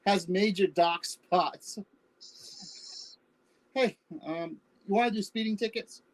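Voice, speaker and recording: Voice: mid-range voice, takes it slowly, natural voice, low-quality recording. An actor playing a wry, teasing older spouse reminiscing fondly in a staged play reading. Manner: wry, bantering, conversational.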